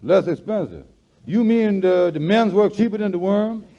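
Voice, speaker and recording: A man's voice: high-pitched, animated, moderate pace, dark tone, a little hiss or hum. A man playing a wily, folksy, skeptical lodge leader in a 1940s radio comedy, talking in ungrammatical banter. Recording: muffled 1940s radio recording.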